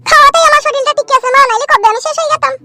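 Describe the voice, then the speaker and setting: A man voicing a bossy, nosy older village aunt in a comic cartoon, with a rural West Bengal accent, a pitched-up voice and a preachy, earthy manner. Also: very fast. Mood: chatty, confiding. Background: music.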